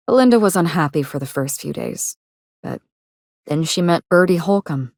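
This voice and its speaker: A woman playing a guarded, world-weary actress in a video game, speaking in a measured, dry, wry way. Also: low-pitched, lively intonation, vocal fry, darkish tone, no room sound. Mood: wistful, subdued. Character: guarded, world-weary actress investigating her sister's murder. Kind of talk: scripted game dialogue.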